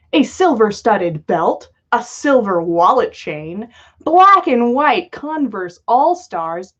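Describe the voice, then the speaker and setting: A woman reading a story aloud as a livestream host, with lo-fi sound. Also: mid-range voice. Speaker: podcast host reading fan fiction aloud. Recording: dull lo-fi livestream audio.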